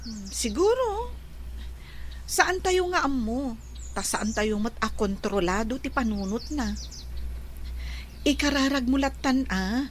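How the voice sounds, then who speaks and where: An actress with an Ilocano accent playing a concerned, reassuring young best friend in a radio drama, faint noise behind. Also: female voice, high-pitched, animated, slow, long pauses.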